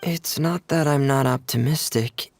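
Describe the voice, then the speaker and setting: Slow, creaky, somber teenage boy. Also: mid-range voice. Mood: grave. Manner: hesitant, soft-spoken, careful. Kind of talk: video game voice acting.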